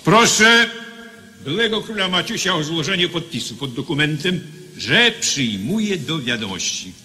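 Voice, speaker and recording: A stern judge in a radio drama, declaiming formally. His bright voice rings in a long hall reverb and swings widely in pitch. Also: very animated, a little slow, full character voice. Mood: formal, commanding. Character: stern male presiding judge of a military tribunal. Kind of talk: Polish radio play acting.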